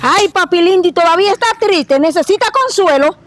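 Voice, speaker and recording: A woman's voice: very high voice, animated, brisk, music in the background. A woman doing a flirty, coaxing neighbor.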